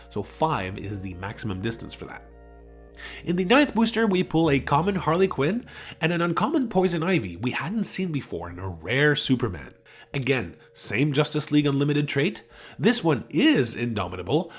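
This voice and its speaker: A man hosting an unboxing review, explaining game pieces in an upbeat, enthusiastic way. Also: medium pitch. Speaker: tabletop game unboxing host. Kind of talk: upbeat hobby review narration. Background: background music.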